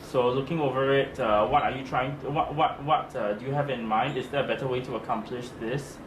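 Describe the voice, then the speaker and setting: A man of ordinary pitch explains his role on the team, pausing on fillers as he goes. The room rings with echo, and steady noise sits under his voice. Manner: hesitant, with many "uh" fillers. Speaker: team member in charge of the design of digital objects.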